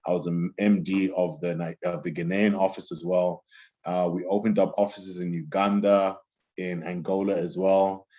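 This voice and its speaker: A man's voice: sits low, little pitch movement, normal speed, warm tone. A man from Zimbabwe, a guest in his thirties, talking casually in an interview and often restarting his sentences with fillers. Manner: rambling, many restarts and fillers. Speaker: interview guest, music executive. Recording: dull lo-fi sound.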